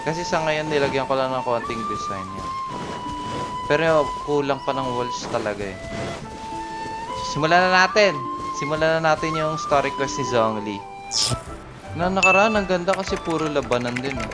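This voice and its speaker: A man's voice: a little high, animated, brisk, pauses often, over loud music. A man with a Filipino accent giving casual commentary as he plays a video game. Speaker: gaming video creator.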